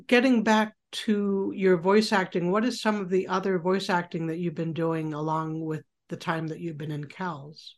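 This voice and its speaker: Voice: medium pitch, fairly even intonation, moderate pace, few pauses. An interviewer asking brief, curious questions about voice acting.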